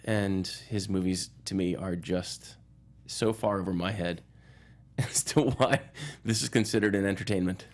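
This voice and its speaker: A man's voice: deep voice, measured pace. A man speaking as a guest journalist in a casual film chat, wry and dry-humoured.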